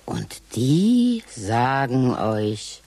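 An actress playing an odd, dreamy woman who talks slowly about a strange pregnancy in a radio play. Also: very deep voice, sing-song, dark tone, husky, dry recording, full character voice. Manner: slow, dreamy, scatting to herself.

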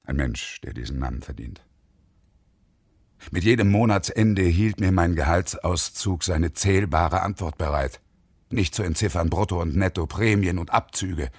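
An actor playing a bitter, desolate unemployed engineer around fifty in a radio play, with a husky, brooding delivery. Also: male voice, sits low, moderate pace, dry recording, clean studio sound. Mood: bitter, agitated. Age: middle-aged. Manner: brooding, often whispery delivery. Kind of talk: German radio play acting, monologue.

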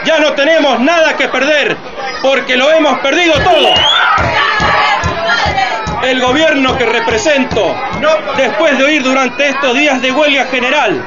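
An actor playing an impassioned, defiant president, delivering a declamatory, emphatic political speech in a radio drama. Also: male voice, extremely high pitch, measured pace, over loud music. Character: impassioned male president rallying his nation in a defiant speech. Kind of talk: radio theatre political oratory. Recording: dull lo-fi, loud crowd and noise.

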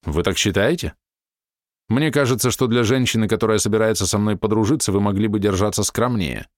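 A man playing a gruff, irritated writer. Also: fairly low pitch, fairly quick, no echo, studio quality, lightly acted. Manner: indignant, sharp retorts. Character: irritable middle-aged male writer, gruff and proud.

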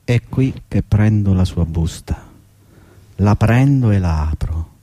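Radio drama casting: bitter older worker just sacked, husky, volatile delivery swinging widely in pitch, dry sound with faint music. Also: male voice, lively intonation, slow, warm tone, no room sound. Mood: desperate, bitter. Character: desperate, bitter older male employee, just fired. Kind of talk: theatrical radio drama monologue and dialogue.

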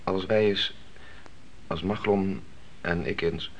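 A man's voice: deep voice, slow, muffled recording. A man playing an earnest, questioning grown son in a radio play, hesitant and argumentative as he cautiously challenges his devout mother. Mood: cautious, determined. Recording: noisy old radio play recording.

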